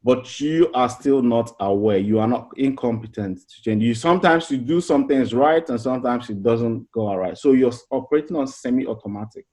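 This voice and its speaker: A man's voice: medium pitch, normal speed, few pauses, a little mellow. A middle-aged man giving an informal motivational class as a life coach, earnest and conversational, often restarting phrases and asking rhetorical questions.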